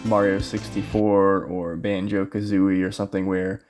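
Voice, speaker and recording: Low-voiced man doing casual game commentary. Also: little pitch movement, moderate pace, a little mellow. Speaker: speedrunner commentator. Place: voice-over recorded over game footage. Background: game music.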